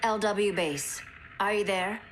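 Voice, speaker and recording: An actress playing a calm, reassuring woman scientist who runs a base. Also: medium pitch, slow pace, background noise, lightly acted. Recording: game score behind.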